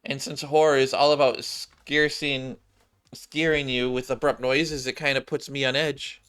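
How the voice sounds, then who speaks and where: Gentle, easily flustered lady cop for a game played by a man, mild delivery, bright tone. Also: mid-range voice, flat delivery, a little slow, smooth, natural voice. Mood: uneasy.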